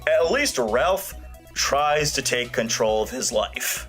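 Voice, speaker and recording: A man's voice: medium pitch, animated, takes it slowly, fairly bright tone. A man narrating an opinionated scripted review in an emphatic, informal way. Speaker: video reviewer and host. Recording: music playing behind the voice. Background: background music.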